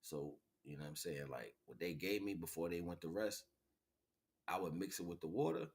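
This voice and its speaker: Low-pitched man, rambling and slangy livestream host. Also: lively intonation, moderate pace, slightly rough. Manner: rambling, slangy, repeats phrases and checks in with listener. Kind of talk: informal livestream monologue.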